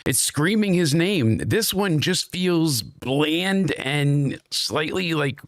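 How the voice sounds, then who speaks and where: A man's voice: fairly high pitch, lively intonation, slow pace, no echo, studio quality. A man hosting a wrestling review show in casual chat, rambling and opinionated with lots of restarts, his voice stuffed up and worn.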